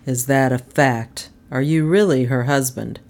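Woman doing a low, slow, bullying detective man. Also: darkish tone. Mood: suspicious. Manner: gloating, badgering. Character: gruff, bullying, sarcastic male police detective.

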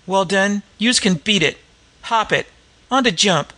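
A man's voice: sits high, measured pace, bright, forceful tone, voice pushed up. A man voicing a young woman disguised as a coarse, screeching old slum hag. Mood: scornful. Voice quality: screeching, cackling. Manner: caustic, coarse street talk.

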